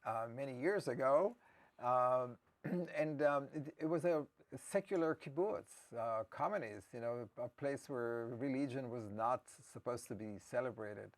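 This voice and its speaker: A man's voice: medium pitch, unhurried. A man with an Israeli accent, a native Hebrew speaker, telling his personal story as an interview guest in a hesitant, reflective way. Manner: hesitant and reflective, with frequent restarts.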